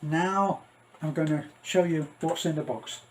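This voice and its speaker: A middle-aged man giving a casual, rambling unboxing commentary, halting and thinking aloud as he goes. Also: fairly high pitch, steady tone, heavy background noise. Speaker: vlogger.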